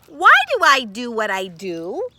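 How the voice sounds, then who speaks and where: A woman's voice: a little high, wildly swooping pitch, unhurried, very noisy. A woman voicing a small earnest earthworm in a children's storybook, questioning and plaintive. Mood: puzzled, earnest. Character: small earnest bewildered earthworm, hardworking and searching.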